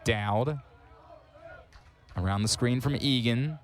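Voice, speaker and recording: Male play-by-play announcer on headset, mid-pitched, quick on the big plays, faint music behind. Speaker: sports commentator. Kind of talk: live sports play-by-play commentary.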